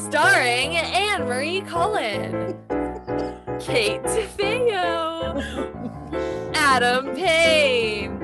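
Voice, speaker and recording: A woman's voice: sits high, sing-song, drawn-out words, long pauses. A woman hosting a live improv comedy show, announcing cheerfully like a showman. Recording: live piano behind.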